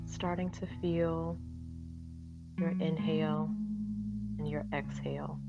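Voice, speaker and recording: Female meditation guide, very slow and even, soothing, over prominent plucked guitar music. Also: low voice, vocal fry, muffled recording. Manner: soothing, measured, long pauses. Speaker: meditation guide, holistic practitioner. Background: loud plucked guitar music.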